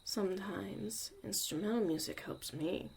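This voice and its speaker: A woman acting a gruff, guarded but secretly caring young mage mentor in a zombie apocalypse ASMR roleplay, soft-spoken and often near a whisper. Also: medium pitch, a little slow, a little hiss or hum. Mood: calm, soothing.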